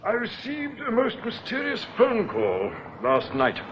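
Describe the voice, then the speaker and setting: Radio drama role: proud, starchy ex-bank manager, prickly and pompous, high voice, muffled old recording. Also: male voice, wildly swooping pitch, measured pace, heavy background noise, heavily acted. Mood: formal. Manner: pompous, stiffly formal.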